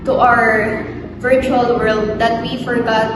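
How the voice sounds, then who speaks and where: An eighteen-year-old woman with a Filipino accent, a student sharing her views in a measured way. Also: fairly high pitch, flat delivery. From Philippines. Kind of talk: reaction video commentary. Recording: distant, echoey hall with music.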